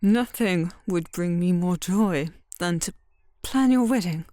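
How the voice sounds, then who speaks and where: A woman playing a genteel but secretly jealous scheming mistress in an audio drama, with hesitant, deliberate delivery. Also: fairly low pitch, warm tone, no echo, studio quality. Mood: polite, reluctant. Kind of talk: scripted ASMR audio roleplay.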